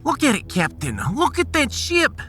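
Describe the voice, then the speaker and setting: A woman's voice: high voice, wildly swooping pitch, normal speed, sharp tone, faint music behind, exaggerated voice. A woman playing a pragmatic, sardonic starship science officer in a sci-fi audio drama, with a wry, analytical manner. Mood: awed, grave.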